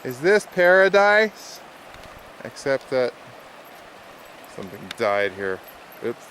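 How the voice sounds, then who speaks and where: A man's voice: mid-range voice, very animated, slow, a bit bright, poor audio. Man, casual trail narration, wry and easygoing, outdoors, camera mic, faint background noise. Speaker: backpacker filming his trip. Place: outdoors on a mountain trail by lakes.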